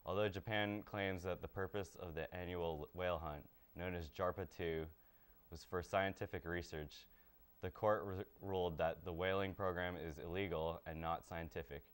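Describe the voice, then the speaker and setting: A man's voice: low voice, steady tone, normal speed, clear, resonant tone. A young man presenting from a small TV studio, delivering scripted lines in an earnest, rehearsed way. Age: young adult. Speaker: student presenter.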